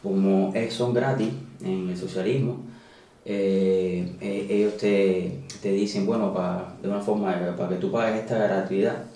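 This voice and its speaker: A Cuban man in his thirties with a Cuban Spanish accent, talking casually as an interview guest and filling pauses with "eh". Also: low-pitched, fairly even intonation, dark tone, distant mic. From Cuba. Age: 30s. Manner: conversational, many "eh" fillers and restarts. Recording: roomy, echoey, voice away from mic.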